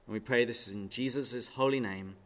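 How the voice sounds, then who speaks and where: A man's voice: mid-range voice, normal speed. A man with an English accent giving a light-hearted church talk to a live audience, quizzing them with jokes before leading a prayer. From England. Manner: jokey and chatty, quizzes the audience, then leads prayer solemnly. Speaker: speaker at a parish talk. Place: hall with an audience.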